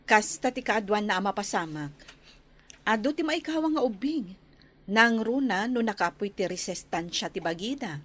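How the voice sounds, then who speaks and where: A woman acts out a village nurse in a radio drama. She has a medium-pitched voice and explains things in a caring, concerned manner. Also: expressive, moderate pace, fairly bright tone. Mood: caring, explaining. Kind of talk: Ilocano radio drama acting.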